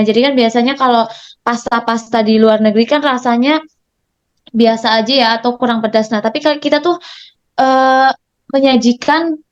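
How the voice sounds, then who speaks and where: A woman's voice: a little high, lo-fi sound. A young woman student presenting in class, mixing read text with casual asides and many hesitations.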